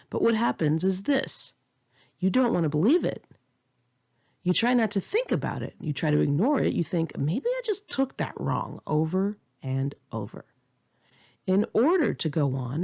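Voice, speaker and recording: Female memoir narrator, confessional and emphatic, lively intonation with a creaky edge, modest lo-fi sound. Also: on the low side. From United States. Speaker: author reading own memoir. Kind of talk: memoir audiobook reading.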